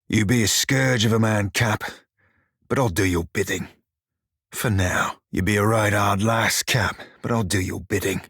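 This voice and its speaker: An actor playing an irreverent, gruff but soft-hearted furry pirate shiphunter in a video game, speaking in a folksy pirate dialect. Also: male voice, fairly low pitch, dry recording, clean studio sound. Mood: bitter, resentful. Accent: stylized pirate dialect. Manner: colloquial, folksy, archaic sailor's turns of phrase. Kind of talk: video game character dialogue.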